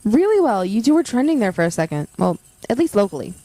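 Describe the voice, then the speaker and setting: Young female reporter, audio drama, soft-toned mellow voice, friendly, earnest, close dry sound. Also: medium pitch, very animated, fast, dry recording, low-quality recording. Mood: friendly excited. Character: keen, friendly young female campus journalist. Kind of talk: naturalistic audio drama acting.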